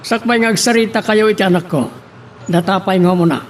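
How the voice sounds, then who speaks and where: A man's voice: high-pitched, a little fast, natural voice. A man playing a stern, scheming wealthy boss who presses and commands in an Ilocano audio drama, with an Ilocano accent. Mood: stern, pressing. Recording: clear background hiss and noise.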